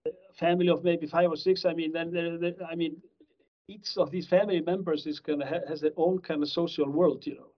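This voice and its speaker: A man's voice: a little high, steady tone, a little fast. A middle-aged man from Iceland, a professor being interviewed, who rambles with many fillers and restarts. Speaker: guest criminology professor. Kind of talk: conversational interview answers. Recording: slightly dull lo-fi audio.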